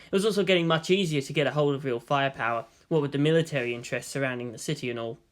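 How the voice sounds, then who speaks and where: A man reading fiction aloud as scripted narration, in an expressive storytelling style. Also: medium pitch, racing.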